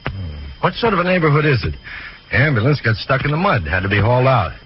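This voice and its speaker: An actor playing an earnest, dedicated doctor, brisk and probing, in the style of 1940s radio drama. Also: male voice, medium pitch, very animated, lightly acted. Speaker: actor, lead and first-person narrator. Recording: dull old radio recording with loud music.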